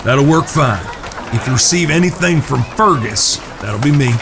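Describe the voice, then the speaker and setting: Man playing gravelly outlaw gang leader. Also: medium pitch, animated, moderate pace, noisy background, natural voice. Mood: businesslike. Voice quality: creaky, gravelly. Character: cautious, bossy planner leading Old West outlaw brothers. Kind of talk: audio drama acting.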